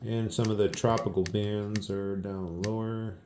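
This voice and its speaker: Low-voiced man rambling casually over background hiss. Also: level tone, a little slow. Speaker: host of a shortwave radio hobby video. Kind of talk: casual unscripted radio demonstration.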